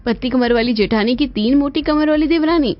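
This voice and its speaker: A woman's voice: sits high, fairly quick, muffled recording, close to an everyday voice. A woman playing a mocking, gossipy young sister-in-law in a family story, teasing and sarcastic. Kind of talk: dramatized family story voice acting.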